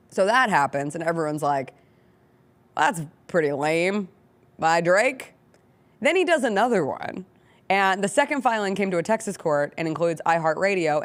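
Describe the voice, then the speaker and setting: An American woman hosting a talk show, giving conversational commentary. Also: fairly low pitch. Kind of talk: conversational sports and culture commentary.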